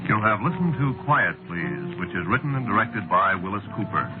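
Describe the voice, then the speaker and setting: A man announcing formally and in measured phrases in the style of 1940s network radio. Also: on the low side, very animated. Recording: narrow vintage radio broadcast, music behind.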